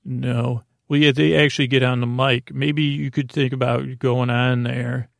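A man hosting a bedtime podcast in a creaky voice, with a soothing, halting, meandering delivery that drifts into tangents. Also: mid-range voice, flat delivery, no echo, studio quality. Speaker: podcast host. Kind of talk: rambling bedtime podcast monologue.

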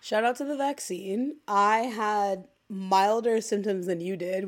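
A woman's voice: lowish voice, a little mellow. A woman co-hosting a podcast, talking in a casual, chatty way full of fillers, a little congested as she recovers from illness. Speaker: podcast co-host. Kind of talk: casual chat.

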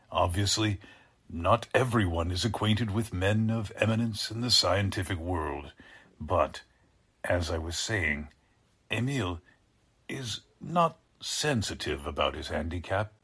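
An actor playing a courtly but menacing mad scientist in a 1940s-style radio drama, with formal, pompous, halting delivery. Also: male voice, sits low, animated, a bit bright, slightly rough, heavily acted.

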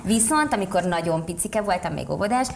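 A woman's voice: fairly low pitch, animated, creaky, crowd noise. A woman casually telling a personal anecdote in conversation. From Hungary. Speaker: participant telling a childhood anecdote.

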